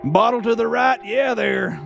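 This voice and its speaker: A man's voice: extremely high pitch, measured pace, vocal fry, a bit bright, soft background music, pitched above the performer's own voice, exaggerated voice. A man voicing a crude, surly cowboy sheriff with an American Western drawl in a video game. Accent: put-on American Western drawl.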